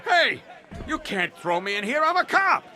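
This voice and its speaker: A man's voice: very high voice, very animated, moderate pace, bright, forceful tone, some chatter behind, heavily acted. An actor playing a corrupt cop in a video game, whining and protesting his innocence in a pleading manner. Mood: frantic, indignant. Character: whiny corrupt cop pleading innocence after being caught.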